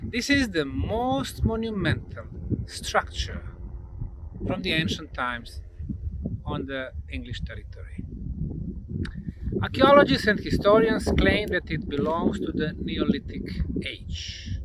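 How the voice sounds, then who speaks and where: A man presenting a documentary to camera outdoors, speaking emphatically in deliberate phrases with dramatic pauses, in a windy, noisy location recording. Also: fairly high pitch, big pitch swings. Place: outdoors in open countryside.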